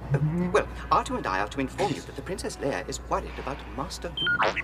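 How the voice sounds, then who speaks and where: Fussy, fast-talking protocol droid. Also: male voice, fairly high pitch, huge pitch swings, over loud music. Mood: fussy, anxious. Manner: prim, formal, stammering interjections. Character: fussy, anxious, polite male protocol droid. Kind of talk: radio drama acting.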